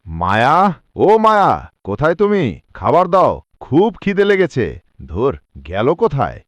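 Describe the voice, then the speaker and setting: A man's voice: a little high, wildly swooping pitch, normal speed, dry recording. A man voicing a lazy, irritable village shopkeeper husband in a cartoon, with a whining, grumbling delivery. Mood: hungry, impatient. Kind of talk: cartoon voice acting.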